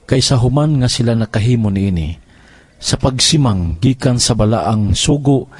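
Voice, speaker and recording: A man's voice: medium pitch, animated, moderate pace, slightly rough, dry recording. A man giving solemn scripted narration as the narrator of an audio drama. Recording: loud music under the voice. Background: loud music.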